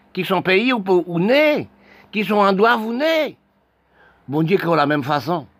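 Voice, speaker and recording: Halting, impassioned man with restarts. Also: medium pitch, no echo. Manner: halting, repetitive, many restarts and broken phrases. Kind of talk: impassioned spoken monologue.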